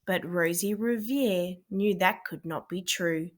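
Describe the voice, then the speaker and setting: A woman's voice: lowish voice, slow. A woman storyteller reading a rhyming children's picture book aloud as scripted narration.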